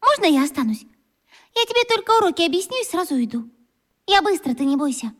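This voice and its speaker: A woman's voice: very high voice, big pitch swings, fast talker. A woman playing a small, eager, chatty schoolgirl of about eleven in a children's radio play.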